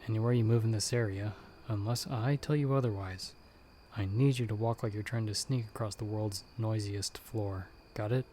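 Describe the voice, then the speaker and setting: Guy doing a stern hushed monster hunter for asmr roleplay, lowish voice, sarcastic, crickets and some noise behind. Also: steady tone, normal speed, lightly acted. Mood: tense, instructing. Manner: hushed, stern, sardonic, urgent instructions. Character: gruff, sardonic male monster hunter, a disembodied guiding voice. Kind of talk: ASMR audio roleplay, addressing the listener.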